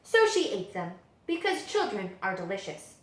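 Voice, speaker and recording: A woman reading children's picture books aloud as a story-time librarian, with dramatic, expressive storytelling. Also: mid-range voice, normal speed. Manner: dramatic, animated storytelling delivery. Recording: echoey room.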